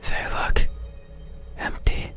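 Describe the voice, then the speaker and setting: A performer voicing an eerie, hollow, mysterious being in a breathy, halting whisper for a horror game. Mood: wistful, hollow. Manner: slow, halting, hushed delivery. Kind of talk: horror visual novel voice acting. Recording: whisper under loud music. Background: loud music.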